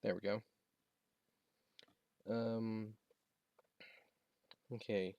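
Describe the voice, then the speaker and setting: A man's voice: lowish voice, level tone, gravelly, darkish tone, highs cut off, slight background noise. Hesitant man giving a casual gadget demo. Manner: halting, tentative, thinking aloud. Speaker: product reviewer demonstrating a gadget. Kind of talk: casual unscripted unboxing and setup demo.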